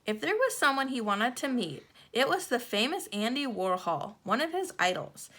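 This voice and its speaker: Bright-voiced woman reading a children's storybook. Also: fairly high pitch, sing-song, moderate pace. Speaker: children's book reader. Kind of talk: scripted storybook narration for children.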